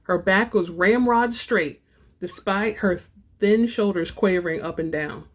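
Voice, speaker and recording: A woman hosting a dramatic book reading, moving between informal, expressive chat and narration. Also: medium pitch, unhurried. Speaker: host and reader of book samples.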